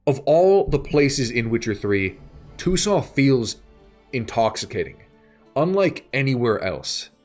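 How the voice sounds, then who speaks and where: Slow, measured man narrating over music. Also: mid-range voice, big pitch swings. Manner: measured, pausing between short phrases. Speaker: video essay narrator, game reviewer. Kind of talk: scripted narration. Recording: music bed behind the voice. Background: background music.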